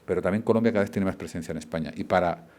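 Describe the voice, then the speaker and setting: A man's voice: low voice, rapid-fire, darkish tone. A middle-aged man giving a rambling speech at an event, with many fillers and restarts. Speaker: law firm partner giving a speech.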